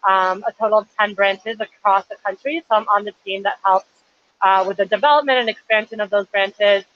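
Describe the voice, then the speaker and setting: A woman in her twenties from Chile, an intern answering interview questions in a friendly, articulate way with light fillers. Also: medium pitch. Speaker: nonprofit intern. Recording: lo-fi livestream audio.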